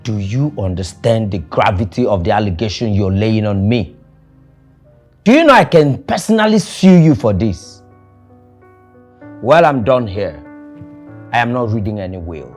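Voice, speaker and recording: An actor playing a stern, offended family lawyer in a film drama, formal and deliberate. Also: male voice, on the low side, animated, darkish tone, faint music behind.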